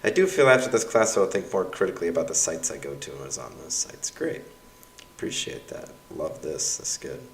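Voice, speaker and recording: A man's voice: low-pitched, sing-song, a little fast. A young adult man, a university instructor whose first language is Russian, reads aloud his course evaluations in a casual, wry, self-mocking way with lots of fillers. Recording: roomy sound from a distance.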